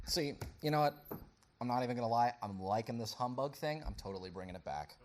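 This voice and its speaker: A woman's voice: rumbling low, lively intonation, quick pace, a little mellow. A woman playing a playful, cocky modern podcaster in slangy, teasing scripted banter.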